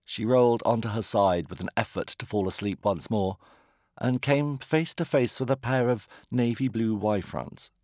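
A British man reading a comic novel as an audiobook narrator, measured and wry. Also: fairly low pitch, darkish tone, dry recording, studio quality. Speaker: author reading own novel.

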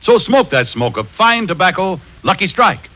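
A male commercial announcer in emphatic 1940s radio style. His voice is bright and animated, slightly high, on a vintage broadcast recording. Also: moderate pace. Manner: emphatic, repetitive. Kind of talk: 1940s radio sales pitch.

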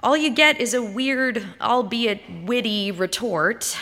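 A woman's voice: on the high side, animated, slow, sharp tone, pitched above the performer's own voice, heavily acted. A woman voicing a well-meaning, worried female friend with a hesitant manner, in a story reading. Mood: concerned. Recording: reverberant hall.

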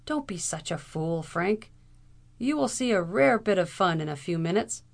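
A woman voicing a confident, unruffled gentleman lawyer in a storybook reading, brisk and taunting. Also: high-pitched, expressive, gravelly. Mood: brisk confidence.

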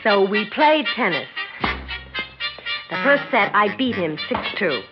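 An actress playing a proud, competitive young sports champion in a 1960s radio drama, curt and guarded. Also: female voice, mid-range voice, very animated, measured pace. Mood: brooding recollection. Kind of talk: 1960s radio drama acting with first-person narration. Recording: dull 1960s radio recording, loud music.

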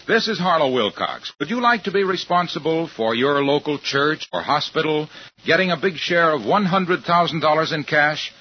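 A man's voice: on the high side, expressive, normal speed, slightly rough. A man delivering a 1950s radio commercial as an announcer, emphatic and polished like a salesman. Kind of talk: 1950s radio advertising pitch. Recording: dull vintage radio broadcast recording.

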